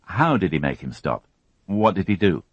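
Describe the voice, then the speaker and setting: A man's voice: low-pitched, moderate pace, breathy edge, dry recording, muffled recording, pitched above the performer's own voice, full character voice. Man as a patient police detective. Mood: calm. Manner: calm, steady questioning.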